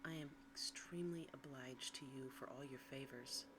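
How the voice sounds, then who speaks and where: A woman reads a bedtime story in ASMR style, in a soft, breathy, hushed and soothing whisper. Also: extremely low pitch, normal speed. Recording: clearly audible background noise.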